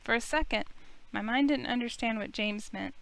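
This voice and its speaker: Story game lead, female reader as young woman, crisp high-ish voice, first-person narration, wry and a little self-conscious. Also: moderate pace, fairly bright tone. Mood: narrating, wry.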